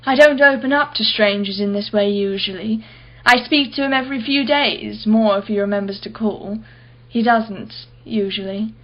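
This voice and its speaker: A woman's voice: mid-range voice, normal speed, highs cut off, lightly acted. A woman playing a wealthy, blunt and haughty married woman in a radio play, clipped and defensive. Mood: guarded, brittle.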